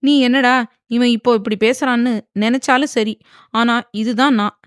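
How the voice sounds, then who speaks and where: A woman's voice: fairly high pitch, fast talker, no room sound, pitched above the performer's own voice, natural voice. Woman voicing a stern young husband, firm and lecturing, dry sound.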